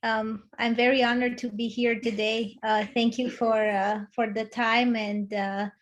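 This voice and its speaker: Woman, 30s, Romanian accent, slightly high voice, hesitant interview guest on a video call. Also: little pitch movement, measured pace. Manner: hesitant, many fillers and restarts. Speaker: guest, executive coach. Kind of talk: online interview.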